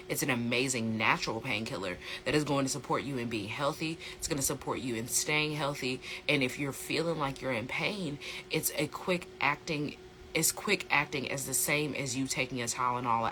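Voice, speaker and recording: An American speaker with a slightly hoarse voice, chatting casually and emphatically as a host explaining things in an educational vlog, repeating phrases for stress. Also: mid-range voice, sharp tone, low-quality recording. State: slightly hoarse from a sore throat. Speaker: host, plant-based lifestyle coach.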